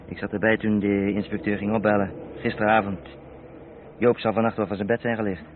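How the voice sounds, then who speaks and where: A man acting a good-natured boss of a trucking firm in a radio play. His voice is fairly low, firm and matter-of-fact, on a muffled, faintly hissy recording. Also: little pitch movement, fairly quick, lightly acted. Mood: businesslike. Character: middle-aged haulage boss, practical, decisive, good-natured.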